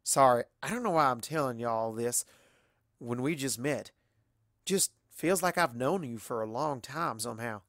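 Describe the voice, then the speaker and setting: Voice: medium pitch, normal speed, fairly bright tone, dry recording, clean studio sound, lightly acted. A storyteller voicing an affectionate female cartoon farm pony with folksy country talk. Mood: warm, candid.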